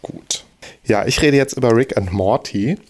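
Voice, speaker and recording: A man's voice: mid-range voice, normal speed, a little mellow. Hesitant, chatty man. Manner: hesitant, many restarts and fillers. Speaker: podcast host. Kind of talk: casual chat.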